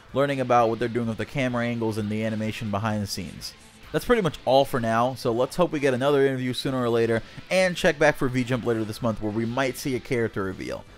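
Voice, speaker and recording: A man reading scripted video game news as a channel host, presenting a written interview. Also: medium pitch.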